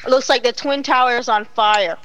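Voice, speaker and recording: A middle-aged woman hosting a casual online talk show, animated and exclaiming, laughing often. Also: fairly high pitch, normal speed, dull audio, background noise. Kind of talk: casual live-stream chat.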